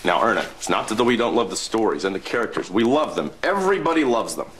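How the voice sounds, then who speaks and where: A man's voice: fairly low pitch, very animated, fast talker, fairly bright tone, a little hiss or hum. An actor playing an uneasy 1930s male radio executive, ingratiating and hedging, repeating himself in a period drama. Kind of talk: period television drama acting.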